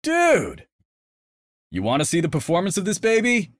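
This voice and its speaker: A man's voice: high voice, huge pitch swings, moderate pace, pitched above the performer's own voice. A man voicing a reckless, cocky teenage boy who hollers brashly behind the wheel. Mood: excited.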